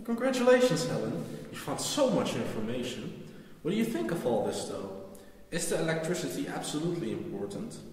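A man's voice: fairly high pitch, very animated, brisk, a little mellow. A man playing a questioning boy in scripted educational cartoon dialogue, quizzing and prompting as he goes. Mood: encouraging. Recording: very echoey room, long reverb.

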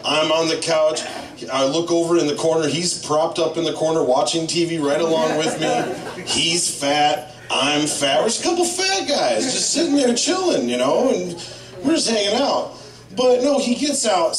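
A man's voice: fairly high pitch, moderate pace. A man in his thirties doing a profane, rambling stand-up set in a small bar, on a handheld mic filmed from a distance. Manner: profane, rambling storytelling with lively impressions. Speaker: stand-up comedian. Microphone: handheld mic, filmed by a far camera. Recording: echoey bar room, camera far off.

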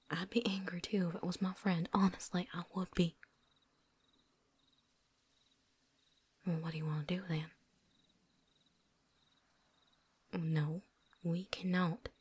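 A woman playing a tough, world-weary vampire hunter in a whispered ASMR roleplay, breathy, with a folksy put-on drawl. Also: on the low side. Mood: sympathetic, resigned. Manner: folksy drawl with dropped endings, put-on accent.

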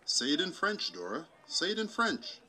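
Puppet-show president, played by a man: grandiose orator, forceful, widely swinging pitch, easily flustered. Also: huge pitch swings, heavily acted. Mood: commanding. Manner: grandiose, halting, theatrical.

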